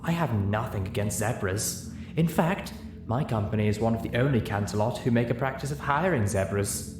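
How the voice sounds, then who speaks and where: A man voicing a smarmy, over-gracious and dismissive gentleman pony stallion in a story reading. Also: on the low side, normal speed, close to an everyday voice. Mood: smooth, dismissive. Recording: roomy, clearly noisy home recording.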